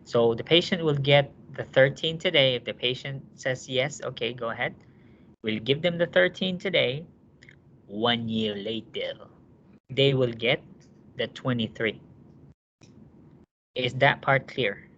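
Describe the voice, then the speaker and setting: A man's voice: medium pitch, measured pace, long pauses, fairly bright tone, a little hiss or hum. A man teaching a nursing class, walking students through cases with rhetorical questions and checking that they understand. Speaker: nursing instructor. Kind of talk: classroom lecture. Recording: dull lo-fi classroom recording.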